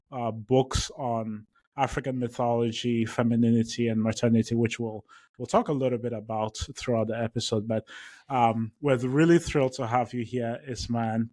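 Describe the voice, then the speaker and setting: A man hosting a podcast, reading a guest introduction and asking questions, upbeat but halting with many fillers. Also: medium pitch, level tone, a little mellow. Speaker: podcast host. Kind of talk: scripted introduction and interview questions.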